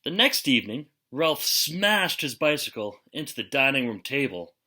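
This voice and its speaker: A man reading a children's picture book aloud expressively, with deliberate, dramatic emphasis and pauses. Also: mid-range voice, big pitch swings, bright, forceful tone. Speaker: storybook reader.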